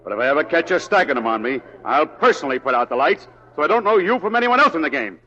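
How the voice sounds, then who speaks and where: Menacing Western saloon boss. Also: male voice, fairly high pitch, very animated, fast talker, bright, forceful tone. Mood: threatening. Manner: curt, threatening. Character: menacing, domineering Western saloon boss, hard-edged villain. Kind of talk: 1940s radio Western acting. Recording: dull old radio broadcast.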